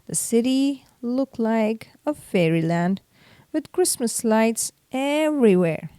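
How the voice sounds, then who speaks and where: A woman storyteller reads a children's book aloud at a leisurely, careful pace. Her voice is slightly high and expressive, recorded close and dry with no room sound. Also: takes it slowly, no room sound.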